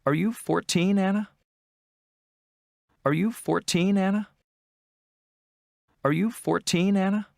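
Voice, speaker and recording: An adult voice playing an interviewer in a scripted textbook dialogue, asking friendly questions with measured delivery. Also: medium pitch, creaky, dry recording, clean studio sound. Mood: plain.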